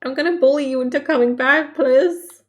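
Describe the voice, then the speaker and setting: A woman's voice: high voice, moderate pace. A young woman from Denmark, chatting casually as she reads out and answers viewer messages on a stream. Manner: chatty, reacts aloud to chat. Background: faint music.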